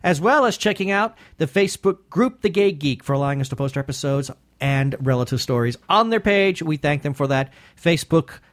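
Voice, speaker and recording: Male co-host, slightly high voice, casual read-out of plugs and thanks. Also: expressive, fairly quick. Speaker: podcast co-host.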